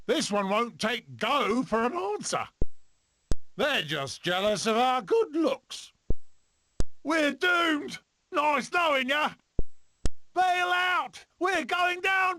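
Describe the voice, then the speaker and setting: A man voicing a cocky, excitable furry adventurer animal in a video game, with a theatrical, exclamatory delivery. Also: extremely high pitch, a little hiss or hum, heavily acted. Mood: cocky relief, then panicked shouting.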